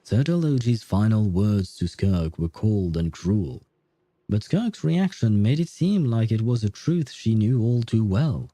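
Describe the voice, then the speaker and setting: A man giving casual livestream commentary on a game, musing aloud and pausing to think. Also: on the low side, lively intonation, dry recording. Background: soft game music.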